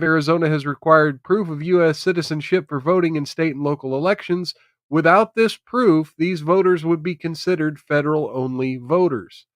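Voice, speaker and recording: A man's voice: fairly high pitch, no room sound. A man hosting a live stream with casual, irreverent news commentary, sometimes reading articles aloud in an informal way.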